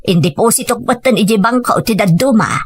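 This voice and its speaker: A woman's voice: fairly high pitch, fast, breathy edge, no echo. A woman acting as a woman of the family in an Ilocano audio drama. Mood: earnest. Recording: music under the voice. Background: music.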